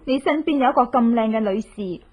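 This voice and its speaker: Woman acting high voice, slightly rough, cunning vengeful wife, coaxing and taunting, Hong Kong accent, radio horror drama. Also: fairly quick, darkish tone, lightly acted. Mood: gloating, vengeful. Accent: Hong Kong Cantonese. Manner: coaxing politeness turning to taunting menace. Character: cunning, vengeful young wife who drugs her enemies.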